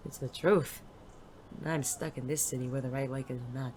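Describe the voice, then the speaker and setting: A performer playing a world-weary, self-doubting male private eye and war veteran in an audio drama, gruff, hesitant and prone to swearing. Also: medium pitch, steady tone, normal speed, a little mellow, close to an everyday voice. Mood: resigned, tender. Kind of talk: intimate audio drama dialogue. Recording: clearly noisy background ambience.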